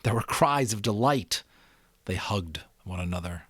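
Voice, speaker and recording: Guy reading an audiobook dramatically, pitch moves a lot, deliberate pauses, dry close recording. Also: husky, no room sound. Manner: expressive, deliberate phrasing with pauses. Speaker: amateur audiobook narrator. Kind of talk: dramatic storybook narration.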